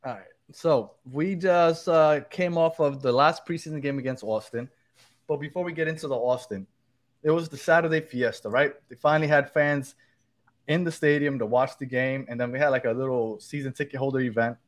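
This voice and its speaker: A man's voice: medium pitch, fairly quick. A man hosting a casual soccer fan podcast, chatty and joking. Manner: chatty, joking, loose restarts. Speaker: podcast host.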